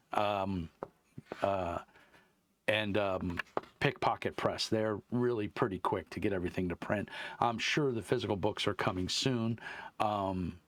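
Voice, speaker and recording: A man with a deep voice hosting his tabletop game review channel, rambling casually with lots of fillers and restarts. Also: fairly bright tone, no echo. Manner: meandering, many fillers and restarts. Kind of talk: casual rambling chat to camera. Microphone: wireless clip-on mic.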